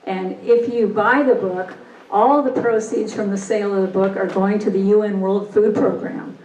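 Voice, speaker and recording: A woman's voice: medium pitch, fairly even intonation, normal speed, a little mellow, a little hiss or hum. Earnest woman speaking from across an echoey room. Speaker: co-author. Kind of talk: brief remarks at a talk. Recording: echoey room, far from mic, faint ambience.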